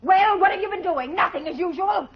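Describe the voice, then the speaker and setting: A woman's voice: very high-pitched, lively intonation, a little fast. An actress playing a cruel, shrewish innkeeper woman, scolding shrilly in an old radio drama. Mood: angry, scolding. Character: cruel, bullying female innkeeper, shrewish mistress. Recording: muffled vintage radio with music behind.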